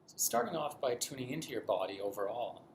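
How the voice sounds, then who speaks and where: A man leading a guided body scan meditation in a gentle, unhurried manner with long pauses. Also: medium pitch, very animated, gravelly. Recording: roomy echo, faint background noise.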